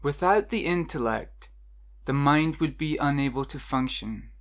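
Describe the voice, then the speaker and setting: A meditation guide narrating a scripted guided meditation in a measured, instructional way with deliberate pauses. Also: mid-range voice, lively intonation.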